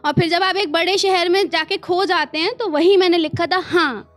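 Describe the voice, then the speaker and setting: High-pitched Indian woman reciting poetry. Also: soft background music. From small town in India. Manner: expressive, deliberate, repeats lines and refrains for emphasis. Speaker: poet performing her own work. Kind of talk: live spoken-word poetry recital with asides to the audience.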